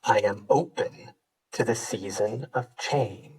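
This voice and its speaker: A man teaching yoga, leading a guided meditation with gentle, unhurried instructions and pauses. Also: lowish voice, slightly rough, far from the mic, low-quality recording.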